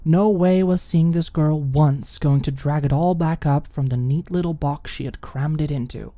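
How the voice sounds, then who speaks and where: A woman's voice: low voice, normal speed, mellow, dry recording. A woman reading scripted story narration for an ASMR audiobook, recorded close to the mic.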